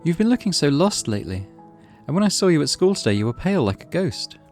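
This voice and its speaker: Guy doing a teen girl on the phone in a visual novel, high voice, gentle n chatty, music behind. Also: sing-song, fast. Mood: soft, earnest.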